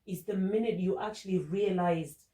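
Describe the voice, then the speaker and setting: A woman's voice: medium pitch, normal speed, warm tone. A woman hosting an interview podcast, encouraging her guest and summing up what the guest has just said. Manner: encouraging, summarizing the guest's points. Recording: echoey room, distant mic.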